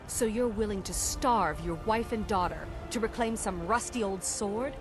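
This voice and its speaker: A woman's voice: mid-range voice, moderate pace, over music, natural voice. An actress playing a worried, reproachful wife and mother in a video game, scolding as she speaks. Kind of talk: video game character dialogue.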